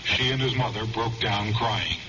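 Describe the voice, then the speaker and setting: A man's voice: on the low side, moderate pace. An American man giving a scripted radio report as a news correspondent. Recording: muffled radio with clear noise.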